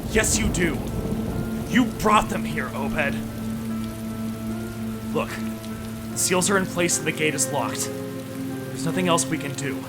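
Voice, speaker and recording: A man acting a stern, urgent leader in a period horror drama, commanding and grave, with loud music and rain. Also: fairly high pitch, animated, unhurried, long pauses. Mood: grim. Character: stern urgent man leading a terrified companion away.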